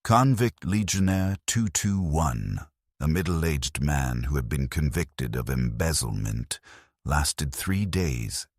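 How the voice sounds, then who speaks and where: Very deep-voiced man narrating a grim story. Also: lively intonation, slow pace, no echo, clean studio sound. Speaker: narrator of fiction stories. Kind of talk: scripted first-person narration of a grim military sci-fi story.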